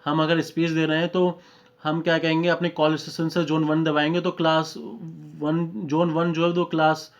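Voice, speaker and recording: A man's voice: fairly high pitch, completely flat delivery, normal speed. A man with an Indian accent giving a technical lesson step by step, mixing in English terms and often adding short checking tags. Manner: repeatedly checks understanding with short tag phrases. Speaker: technical instructor. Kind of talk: lesson, explaining slides step by step. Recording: dull lo-fi microphone recording.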